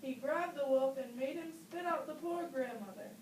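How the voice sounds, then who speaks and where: A woman reads scripted narration for a children's puppet show. Her voice is high. She is recorded at a distance in a roomy space with faint noise. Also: moderate pace.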